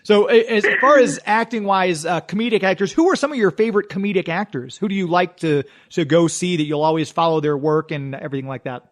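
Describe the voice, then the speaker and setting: A man with a high-pitched voice hosts a radio interview. He is casual and chatty, agreeing readily and wandering through long questions. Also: fast talker, hardly any pauses. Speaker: radio show host, interviewer.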